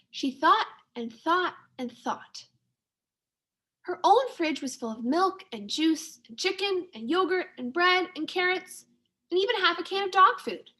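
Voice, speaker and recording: A woman teacher reading a children's storybook aloud to young pupils in an expressive manner. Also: very high voice. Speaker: second-grade teacher.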